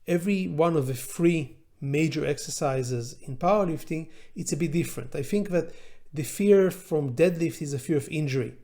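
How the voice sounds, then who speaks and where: A middle-aged male law professor, a native Hebrew speaker, talks through an idea in a thoughtful lecture-style monologue. His voice sits a little high for a man but has a soft, mellow tone. He speaks deliberately, stopping to search for words with frequent ums and uhs. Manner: deliberate and measured, with pauses and filler sounds. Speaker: law professor hosting an educational channel. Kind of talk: reflective educational monologue.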